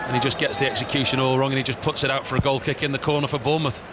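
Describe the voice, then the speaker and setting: A man's voice: mid-range voice, steady tone, rapid-fire. A man giving expert co-commentary on a football match, analysing the play and criticising poor finishing. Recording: noisy background.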